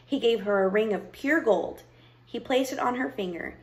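A woman voicing a stern, knowing old grandmother who tells a fairy tale in a deliberate, probing way. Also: a little high, lightly acted. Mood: measured storytelling. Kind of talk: storybook character voice.

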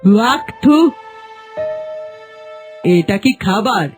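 A woman's voice: mid-range voice, expressive, very slow, soft background music. A woman voicing a demanding older queen mother-in-law in a fairy-tale cartoon, imperious and exacting. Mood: disgusted, scolding.

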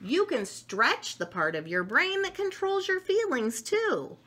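A middle-aged woman reading a children's picture book aloud in an expressive way, with small asides, recorded in a room at home. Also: high voice, a little slow, a little hiss or hum. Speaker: storybook reader. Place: room at home with wooden cabinets.

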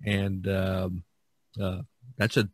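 Low-voiced man chatting on a podcast. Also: monotone, very slow, no room sound. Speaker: podcast host. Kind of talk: casual discussion.

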